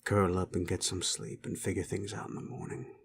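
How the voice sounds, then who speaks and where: Man voicing a low-voiced wry werewolf tough. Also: steady tone, a little fast, gravelly, slight background noise. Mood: wry, resigned. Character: gruff sleazy male werewolf, streetwise, gently protective. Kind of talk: intimate roleplay audio drama.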